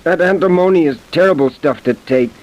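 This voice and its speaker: A man's voice: a little high, fairly quick, a little mellow, muffled recording, close to an everyday voice. An actor playing a fearful, gullible, sickly man in an old-time radio crime drama, hesitant and plaintive. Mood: weary, suffering. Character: fearful, weak, gullible male immigrant, sickly victim. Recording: hissy vintage radio recording.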